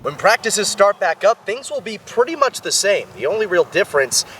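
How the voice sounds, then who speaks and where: A man's voice: sits high, sing-song, normal speed, a bit bright, slight background noise. A man in his twenties delivering sports broadcast narration and commentary as a reporter and announcer. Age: 20s.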